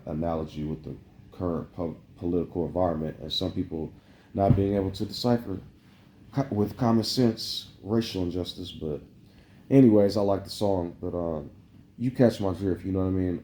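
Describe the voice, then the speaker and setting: A man's voice: sits low, normal speed, warm tone, slight background noise. A man delivering a meandering, preachy spiritual monologue to camera, full of "like" fillers. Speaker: vlogger. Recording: roomy echo.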